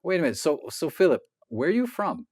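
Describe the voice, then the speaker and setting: A man leading a session, talking casually and directly, asking brisk questions. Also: a little high, big pitch swings, a little mellow, no echo, studio quality. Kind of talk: casual conversation.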